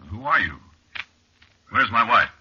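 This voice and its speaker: An actor playing an anxious, irritable male explorer in a 1940s radio horror drama, telling his story haltingly with deliberate, dramatic pauses. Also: medium pitch, very animated, sharp tone, breathy edge, exaggerated voice. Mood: bewildered, demanding. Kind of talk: first-person 1940s radio horror narration and acting. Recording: noisy, muffled, clicky vintage radio recording.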